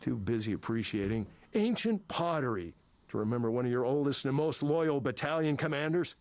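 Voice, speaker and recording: An actor playing an angry, embittered older tech manager, combative, sarcastic and sweary, in a visual novel game. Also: male voice, mid-range voice, animated, a little fast, a little mellow, no room sound, close to an everyday voice. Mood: resentful.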